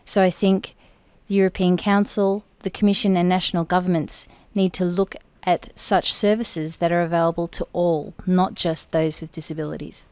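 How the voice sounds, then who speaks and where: A woman interpreting for an interview guest in the first person, speaking in measured, deliberate phrases. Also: lowish voice, flat delivery, darkish tone, smooth, no echo. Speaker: sign language interpreter voicing the guest.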